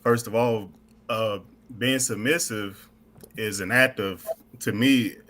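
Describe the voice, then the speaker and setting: Earnest man, halting and deliberate. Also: fairly low pitch, steady tone, fairly bright tone. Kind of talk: casual chat.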